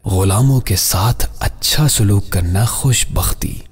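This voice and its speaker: Very deep, slow male narrator. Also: slightly rough. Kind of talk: solemn scripted religious moral narration. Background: music.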